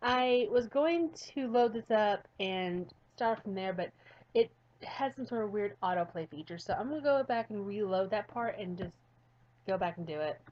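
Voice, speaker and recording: A woman's voice: medium pitch, expressive, moderate pace, dull audio. A woman YouTuber reading a visual novel aloud with casual, playful, giggly commentary. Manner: playful, giggly, self-mocking.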